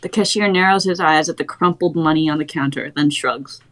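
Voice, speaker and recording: A young adult woman with a voice a little lower than most women's. She narrates a screenplay, reading out the action and scene headings as scripted stage directions. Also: brisk, fairly bright tone.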